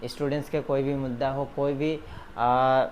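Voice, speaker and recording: A man with a mid-pitched voice speaks as an education vlogger to his viewers. He delivers his commentary earnestly in short, halting phrases. Ambient noise sits behind the voice. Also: little pitch movement, muffled recording. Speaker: education vlogger addressing exam students. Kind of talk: spoken news commentary to viewers. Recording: noticeable background ambient noise.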